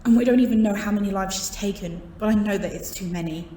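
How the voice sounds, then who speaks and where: A woman's voice: mid-range voice, quick pace, mellow. A woman acting as an earnest female informant in an audio roleplay, grave and insistent, her voice in an echoing effect. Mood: grave, resolute. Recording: echoey, faint background noise.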